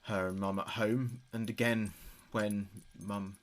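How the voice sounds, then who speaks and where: A man's voice: fairly low pitch, level tone, takes it slowly. An actor playing a grieving adult son in an audio drama, speaking hesitantly and confidingly as if taping messages for his dead father. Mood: fond. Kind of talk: audio drama monologue as a taped diary.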